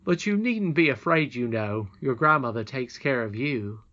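A woman's voice: extremely low pitch, lively intonation, moderate pace, fairly bright tone, dull audio. A woman voicing a brave, humble young miner boy in a storybook, frank, earnest and emphatic. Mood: urgent telling.

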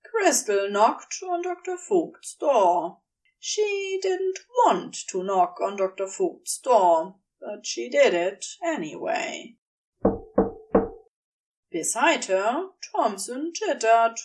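A woman narrates fiction in a fairly high voice with very animated intonation. She reads at an unhurried pace and sounds a little distant from the mic. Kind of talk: scripted story narration.

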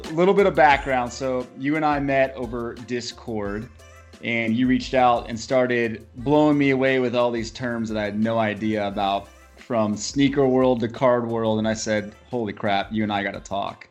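Male host, enthusiastic reactions, relaxed conversational interviewing. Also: mid-range voice, moderate pace, faint music behind. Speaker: podcast host. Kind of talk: casual interview chat.

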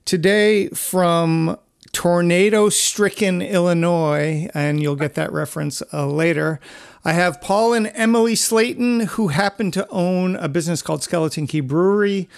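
High-pitched creaky-voiced male podcast host. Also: a little slow. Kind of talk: conversational interview.

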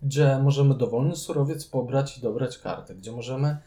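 Man, medium pitch, dark relaxed timbre, analytical, casual podcast conversation. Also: brisk, faint music behind. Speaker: podcast co-host. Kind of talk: casual board game discussion.

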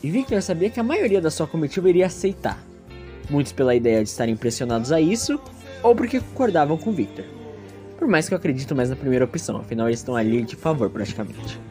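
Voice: medium pitch, big pitch swings, quick pace, mellow, faint music behind. A narrator telling a scripted story in a theatrical, self-mocking way with playful asides.

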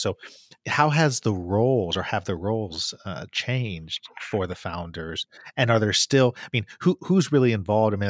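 Deep-voiced interviewer, close and dry. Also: male voice, no room sound. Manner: informal, wordy questions with restarts. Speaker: interview host. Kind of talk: casual conversational interviewing.

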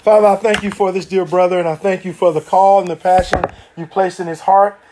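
High-voiced man praying earnestly. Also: flat delivery, fairly quick, background noise. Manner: earnest, prayerful. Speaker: pastor. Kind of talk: spoken prayer.

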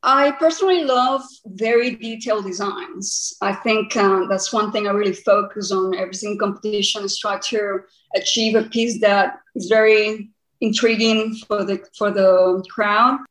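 A woman's voice: mid-range voice, steady tone, normal speed. A woman in her early forties with a Costa Rican accent, a native Spanish speaker, giving conversational answers as an interview guest. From Costa Rica. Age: early 40s. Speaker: podcast guest, body art painter. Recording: roomy echo.

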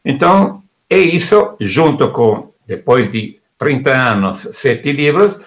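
Gravelly middle-aged man explaining at length. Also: medium pitch, big pitch swings, slow. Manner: didactic, lists topics in bursts. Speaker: author and export consultant. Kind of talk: explaining his book in an interview.

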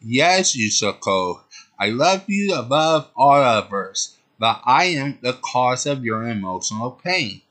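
A man voicing a gentle young male hero who speaks softly and comfortingly, almost begging for forgiveness. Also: medium pitch, sing-song, slow pace, exaggerated voice. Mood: comforting, pleading.

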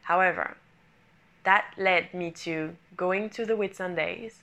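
A woman's voice: lowish voice, a little slow, creaky, bright, forceful tone, dull audio. French woman telling her story. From France. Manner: earnest, repetitive phrasing, non-native English grammar. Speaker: speaker sharing her life story. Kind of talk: personal motivational monologue.

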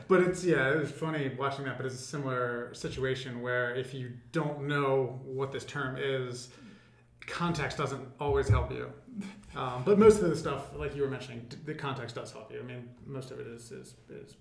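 A man's voice: medium pitch, moderate pace, vocal fry, roomy sound. A young man from Delaware talking casually as an interview guest, wry and prone to repeating words. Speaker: guest, business co-owner.

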